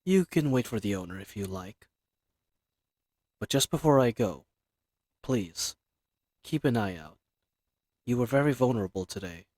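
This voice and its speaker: Guy acting as a polite hired killer with a crush, mid-pitched male voice, measured and formal, very dry sound, romance audio roleplay. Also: lively intonation, a little mellow, dry recording, natural voice. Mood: calm, parting. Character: lovestruck male assassin, reserved, formal, earnestly flirtatious. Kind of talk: scripted romantic audio roleplay addressed to the listener.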